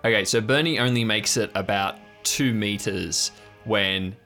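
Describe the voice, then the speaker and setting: A man's voice: fairly low pitch, a little slow, pushed and bright. A man narrating an improvised tabletop audio drama as game master. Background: music.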